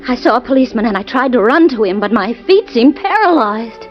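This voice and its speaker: Brief: scared young wife, pleading, high voice, lo-fi radio. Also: female voice, sing-song, fairly quick. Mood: anxious. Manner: anxious, pleading. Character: frightened young wife recounting a nightmare. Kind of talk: 1940s radio acting. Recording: dull old radio recording with faint music.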